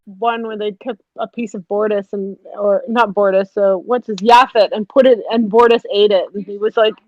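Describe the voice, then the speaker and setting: A woman's voice: mid-range voice, fairly even intonation, moderate pace, no room sound. A Canadian woman chatting casually on a group voice call, giggly and talkative, often laughing between remarks. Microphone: home voice-call mic. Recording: muffled voice-chat audio.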